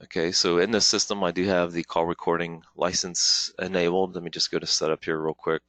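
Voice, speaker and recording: Very deep-voiced man presenting a software tutorial. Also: little pitch movement, brisk, no echo. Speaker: webinar presenter, product trainer. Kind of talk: informal software tutorial, live demonstration walkthrough.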